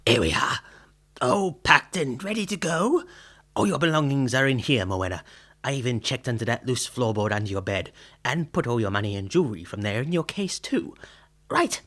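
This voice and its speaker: A man's voice: mid-range voice, expressive, normal speed, a bit bright. A man playing a fussy, bigoted, pompous tailor in a western radio serial, with exaggerated, effusive delivery. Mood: blustering, dismissive.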